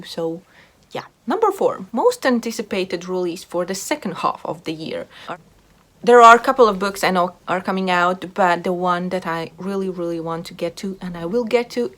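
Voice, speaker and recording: Low-pitched woman chatting about books. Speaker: book reviewer video host. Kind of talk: casual book chat to camera.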